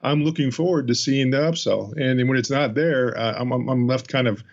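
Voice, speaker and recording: A man's voice: mid-range voice, brisk, gravelly. Rambling, teasing male interviewer, muffled. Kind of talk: casual conversation.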